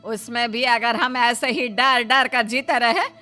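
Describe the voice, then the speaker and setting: A woman plays a determined elderly widow in a Hindi family melodrama. The voice is sharp, bright and fairly high, pleading and defiant by nature. Music plays softly underneath. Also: a little slow. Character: wounded, determined elderly widowed mother wanting to remarry.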